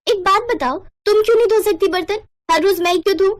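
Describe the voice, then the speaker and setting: A woman's voice: very high voice, fast, voice pushed up. A woman voicing a jealous, quarrelsome younger sister-in-law in a story, with an indignant, accusing, argumentative manner. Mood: defiant, indignant.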